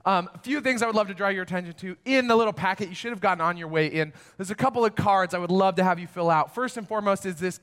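Upbeat high-voiced man, slightly hoarse. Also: fast, hardly any pauses, fairly bright tone. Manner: upbeat and welcoming. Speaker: student ministries director. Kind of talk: church announcements. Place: church sanctuary. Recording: long hall reverb.

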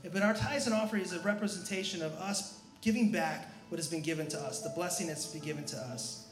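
A man's voice: fairly high pitch, quick pace. A man giving informal church announcements in a conversational, inviting way, as a staff member addressing a congregation. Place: church sanctuary during live service. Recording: echoey room, music behind.

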